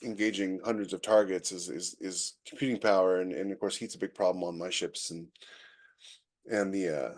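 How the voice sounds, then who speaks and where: Lowish voiced guy on a podcast, casual, kinda halting, thinking out loud. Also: fairly even intonation. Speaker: podcast co-host, science fiction author. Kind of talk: casual chat about sci-fi writing.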